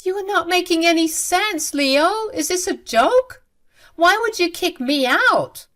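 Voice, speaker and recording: A woman voicing a scheming older mother-in-law who sounds shrill, irritated and defensive. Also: very animated, measured pace, a bit bright, full character voice. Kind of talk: storybook narration.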